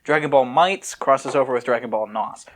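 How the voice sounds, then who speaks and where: A man's voice: medium pitch, moderate pace, a bit bright. Casual man reading fan fiction aloud. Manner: breaks off from reading to comment and joke. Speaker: podcast host reading aloud.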